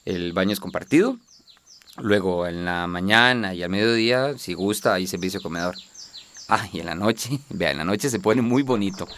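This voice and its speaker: An actor playing an obliging, folksy innkeeper in a radio drama, chatty and persuasive. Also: male voice, low voice, normal speed, fairly bright tone, a little hiss or hum. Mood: chatty, salesy. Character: folksy, obliging male innkeeper in a border town.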